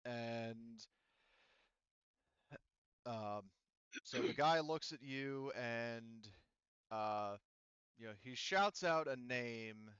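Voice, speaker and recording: A man running a tabletop roleplaying game, improvising narration in a halting, conversational, self-correcting way. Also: medium pitch, fairly bright tone, clear, resonant tone, no room sound, highs cut off.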